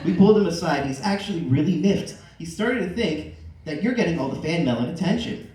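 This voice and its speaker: A man's voice: fairly high pitch, animated, a little fast, a little mellow, lightly acted. A man voicing a chatty female TV producer leaving a gossipy phone message in a comic story. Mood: breezy, gossipy. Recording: echoey room, distant mic, faint noise.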